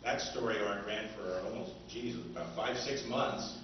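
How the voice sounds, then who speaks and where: Male seminar speaker, lowish voice, halting informal delivery, picked up distant with clear background noise. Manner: halting, pausing often. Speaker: seminar speaker, old-time radio author. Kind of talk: informal public lecture. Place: indoor convention seminar room. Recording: muffled, noisy, echoey room from afar.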